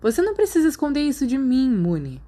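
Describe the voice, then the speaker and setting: A woman's voice: high-pitched, huge pitch swings, fast talker, warm tone, voice pushed up, full character voice. A Brazilian woman voicing a friendly, easygoing teenage schoolboy with a breezy, confident manner in a story. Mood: reassuring.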